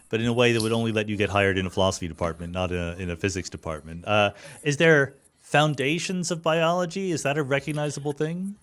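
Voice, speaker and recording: A man's voice: mid-range voice, a bit bright. A man hosting a podcast in a reflective, casual conversational way, often posing questions. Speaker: podcast host. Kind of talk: conversational podcast introduction and interviewing.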